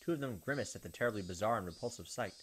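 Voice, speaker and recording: A man's voice: lowish voice, animated, racing, slight background noise. A man hosting a visual novel playthrough, reading narration aloud and commenting casually. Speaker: gaming video host.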